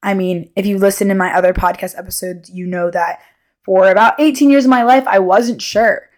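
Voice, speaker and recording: A woman's voice: medium pitch, steady tone, brisk. A woman of twenty hosting a solo podcast in a casual, unscripted way, candid and rambling, often interrupting herself. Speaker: podcast host.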